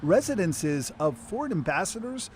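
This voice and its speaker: A man's voice: high voice, very animated, moderate pace, slight background noise. A man with an American accent narrating a scripted investigative news report.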